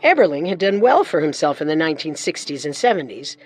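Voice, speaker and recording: Expressive, lower-pitched woman narrator. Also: fast, slightly rough. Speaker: narrator, co-host. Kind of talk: scripted true-crime narration. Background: music.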